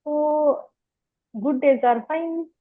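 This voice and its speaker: Slow, stammering woman on a tinny call. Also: high-pitched. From India. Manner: stammers, with repeated words and sounds. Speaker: interview guest. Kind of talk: conversational interview.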